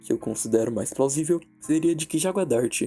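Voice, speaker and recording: A Brazilian man narrating a scripted horror analysis in a measured, dramatic way with deliberate pauses. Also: a little high, expressive, warm tone. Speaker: narrator and video essayist. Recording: background music under the voice. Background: music.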